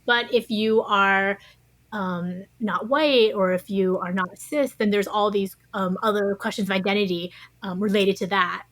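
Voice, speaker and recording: A woman's voice: medium pitch, fairly even intonation, unhurried, a bit bright. A woman speaking as an interview guest, giving thoughtful, conversational answers. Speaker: interview guest, science writer.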